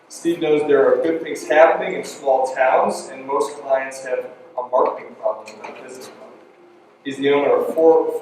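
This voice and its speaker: Halting man speaking in an echoey hall. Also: medium pitch, a little mellow, slight background noise. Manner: rambling, halting with many restarts and fillers. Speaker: event emcee introducing a speaker. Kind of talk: live event remarks. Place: large event room with an audience. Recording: echoey hall, mic from a distance.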